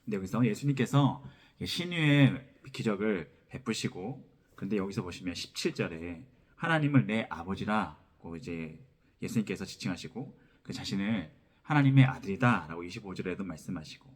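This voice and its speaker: A pastor delivers a sermon in a fairly low male voice. He reads scripture and then explains it step by step, often repeating a phrase before moving on. His throat is a little sore from a cold. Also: expressive, moderate pace, breathy edge. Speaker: pastor preaching. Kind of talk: expository sermon with scripture reading.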